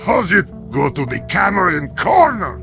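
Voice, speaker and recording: A synthetic male character voice playing a loud, commanding, impatient mercenary with broken English and a gravelly delivery, in an animated fan dub. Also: high-pitched, moderate pace, soft background music, full character voice. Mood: urgent.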